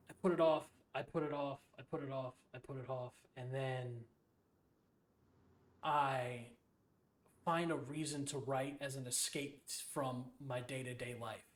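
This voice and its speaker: A man hosting a podcast interview in a loose, halting, informal way, with some casual swearing. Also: mid-range voice. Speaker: podcast host.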